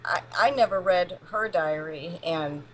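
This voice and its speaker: A middle-aged woman with a distinctly low voice speaks as an interview guest. Her delivery is blunt and matter-of-fact, in a casual personal style, with some faint noise behind her. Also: a little slow, low-quality recording. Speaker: interview guest, palm reader.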